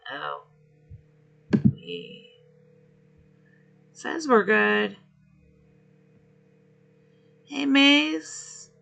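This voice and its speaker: Hoarse, gravelly-voiced stream host. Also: male voice, very high voice, very animated, sharp tone, faint music behind. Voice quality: rough, gravelly. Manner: unhurried, friendly greetings between pauses. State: hoarse, losing his voice. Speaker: livestream host. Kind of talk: relaxed gaming stream chat, greeting viewers.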